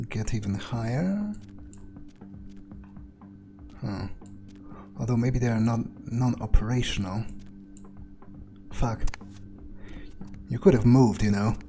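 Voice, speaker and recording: A man thinking aloud in character during a video game playthrough, musing casually to himself and swearing freely. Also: fairly low pitch. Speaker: gamer playing in character as a vampire. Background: music behind the voice.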